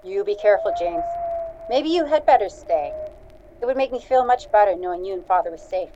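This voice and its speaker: An actress playing a caring, anxious farm wife in a radio drama. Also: female voice, mid-range voice, animated, brisk, warm tone, dull audio, slight background noise, close to an everyday voice. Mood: worried. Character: worried, caring farm wife, daughter and sister.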